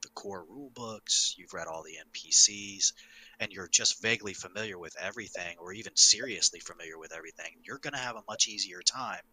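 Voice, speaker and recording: Man, lower-pitched, very sharp forceful tone, emphatic game master in casual discussion, high. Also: brisk, husky, rough recording. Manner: emphatic, sweary. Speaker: podcast panelist and game master. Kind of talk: casual tabletop gaming discussion.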